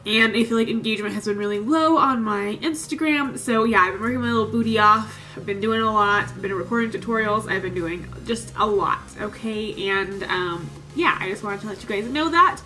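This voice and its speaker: A woman's voice: medium pitch, brisk, hardly any pauses, pushed and bright, soft background music. A young woman in her twenties chatting casually through a makeup tutorial, a bit nasal from a cold. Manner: chatty and upbeat, lots of "like" and "super". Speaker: beauty vlogger. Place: small room at home with a sparkly backdrop.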